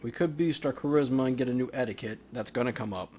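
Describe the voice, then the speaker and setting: Male let's play host, average pitch, relaxed and casual commentary, slight background noise. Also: fast.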